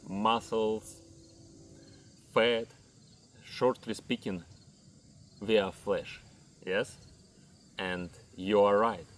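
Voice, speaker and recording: A slow, deliberate Ukrainian-accented man with a lowish voice, teaching about fitness in careful non-native English. He walks through his points one at a time. Faint insect chirping hums in the background. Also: slight background noise. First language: not English. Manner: measured, lists items one by one, non-native grammar. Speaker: fitness coach. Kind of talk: slow explanatory talk to camera.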